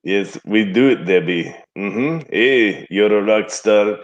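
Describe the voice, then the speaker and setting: A man's voice: fairly low pitch, slow, dull audio. A man doing a goofy Boris faking a Scottish brogue. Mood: boastful. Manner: bumbling, boastful, mangles accent. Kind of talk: improv comedy scene.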